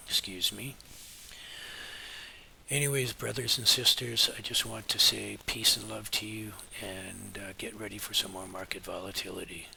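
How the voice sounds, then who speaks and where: A middle-aged man with a hoarse, scratchy voice, giving a relaxed, rambling market update full of pauses. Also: on the low side. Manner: relaxed and meandering, many filler pauses. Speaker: market forecasting teacher. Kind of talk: casual spoken market update.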